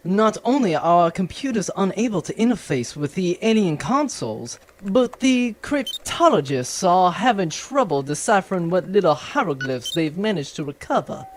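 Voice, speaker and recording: A performer playing a dutiful, dry military commander in a comedic sci-fi audio drama, with a formal, deadpan manner. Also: medium pitch, moderate pace, few pauses, a little hiss or hum, natural voice. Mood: formal, reporting.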